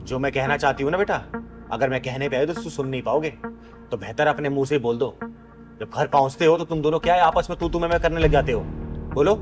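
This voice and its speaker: Kinnar matriarch played by a man for a drama sketch: stern, motherly, rhetorical scolding, raised pitch. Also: quick pace, music in the background, lightly acted. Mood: stern questioning. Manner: preachy, commanding, rhetorical questions. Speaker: lead performer in moral drama sketch. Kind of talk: scripted Hindi moral drama acting.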